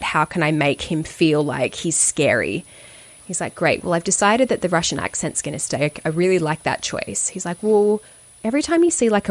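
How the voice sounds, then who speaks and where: A woman's voice: on the low side, dry recording. An Australian woman telling a story in casual conversation, acting out the quoted dialogue as she goes. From Sydney, Australia. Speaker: actor and voice-over artist.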